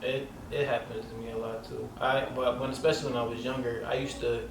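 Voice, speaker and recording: A man's voice: mid-range voice, level tone, brisk. A man with a light voice hosting a casual interview, talking loosely with lots of slang and "like" fillers. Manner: loose and rambling, heavy on filler "like" and street slang. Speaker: podcast host. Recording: echoey room, mic at a distance, noisy background.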